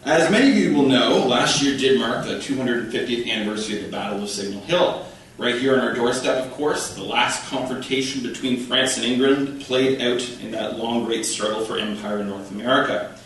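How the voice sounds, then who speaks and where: A man's voice: medium pitch, fairly bright tone, slight background noise. Canadian man lecturing in an echoey hall. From Ontario, Canada. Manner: measured, formal delivery with occasional dry asides. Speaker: history lecturer. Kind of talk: reading a prepared lecture. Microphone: podium microphone, recorded from the room. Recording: echoey hall, distant from mic.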